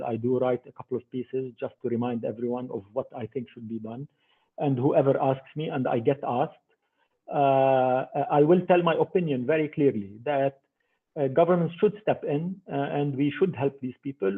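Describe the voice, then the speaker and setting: Older guy talking investing over a tinny phone-like line, kinda husky, lots of uh and repeats. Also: mid-range voice, fairly even intonation, moderate pace. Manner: emphatic, repeats words, many fillers. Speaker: investor guest. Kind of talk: interview over a remote call. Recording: tinny phone-like call audio.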